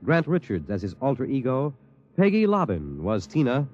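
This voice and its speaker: A man's voice: medium pitch, wildly swooping pitch, normal speed, a little mellow. An American man making scripted announcements in a 1950s radio style, on a dull old broadcast recording.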